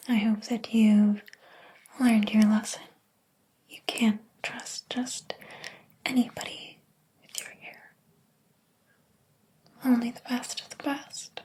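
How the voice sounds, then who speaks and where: A woman playing a possessive, jealous hairdresser in a whispered ASMR roleplay, speaking softly in a slow, deliberate, controlling manner. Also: a little high, steady tone, exaggerated voice. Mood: stern, controlling. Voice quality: soft, breathy whisper.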